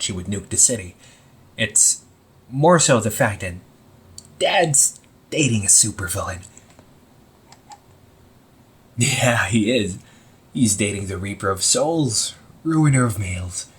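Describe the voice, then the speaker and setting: A man playing an anxious, wry young supervillain in a casual audio roleplay, talking informally with exasperated sighs and halting phrases. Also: on the low side, a bit bright. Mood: urgent, confiding. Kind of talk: amateur audio roleplay, casual conversational acting.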